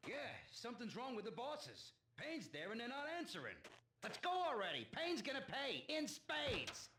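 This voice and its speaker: An edgy gangster henchman from a crime game. The voice is extremely high and jumps about wildly. It sounds roomy, with clear noise around it. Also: huge pitch swings, normal speed, full character voice. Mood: agitated. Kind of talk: video game character acting.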